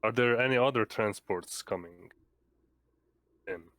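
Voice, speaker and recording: A man's voice: on the low side, unhurried, a bit bright, no echo, clean studio sound. A man taking part in a tabletop roleplaying game with casual, in-character chat.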